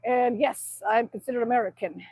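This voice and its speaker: A woman's voice: on the high side, brisk, a little mellow, no echo. A middle-aged woman from Alaska, chatting casually on a livestream outdoors in the snow and reading out viewer comments. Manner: chatty, reads out chat messages and answers them. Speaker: livestream host.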